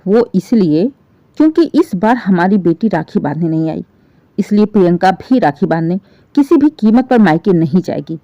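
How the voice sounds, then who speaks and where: A woman's voice: fairly low pitch, animated, normal speed, dry recording, muffled recording. Stern, indignant older mother-in-law, voiced by a woman. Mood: stern, defiant. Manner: stern, reproachful, lecturing. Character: indignant, aggrieved older mother-in-law scolding over her daughter.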